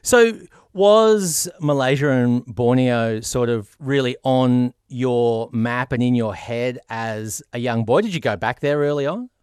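Smooth-voiced male interviewer. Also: medium pitch, unhurried, hardly any pauses, a little mellow, dry recording, studio quality. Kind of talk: measured interview questions.

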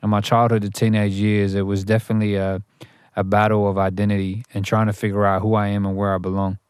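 A man's voice: on the low side, flat delivery, quick pace, a little mellow, clear, resonant tone, no echo, studio quality. A young adult man, a rapper talking through his upbringing as an interview guest, thoughtful and full of restarts. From Australia. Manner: thoughtful, frequent restarts and "you know". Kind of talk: interview, reflective storytelling.

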